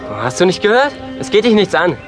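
An actor playing a gruff, defensive, hot-tempered young man in an audio drama. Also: high voice, big pitch swings, a little fast, music in the background. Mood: aggressive, threatening. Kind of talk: German audio drama acting.

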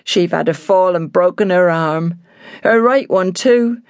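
A woman's voice: fairly low pitch, a little slow, a little mellow, no room sound, pitched above the performer's own voice. A loyal, flustered housekeeper acted out by a woman reader in an audiobook novel. She talks in homely country turns of phrase and sounds close to tears, in a very dry recording. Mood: tearful, upset. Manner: homely rustic speech, near tears.

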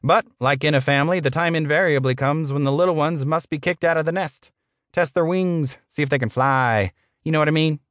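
A man's voice: a little high, fairly quick, fairly bright tone, no room sound, clean studio sound, voice pushed up, natural voice. A man voicing a short, sleazy middle-aged office boss in an audiobook, smug, patronizing and blustering.

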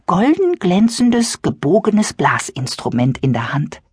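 A woman narrating a scripted children's audiobook with expressive, careful storytelling. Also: lowish voice, a little slow, slightly rough, dry recording, clean studio sound. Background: musical instruments and music.

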